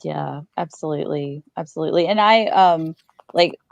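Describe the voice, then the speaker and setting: A woman's voice: low voice, moderate pace, gravelly, muffled recording. A woman hosting a podcast in casual interview chat, talking in an effusive, affirming way. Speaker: podcast host.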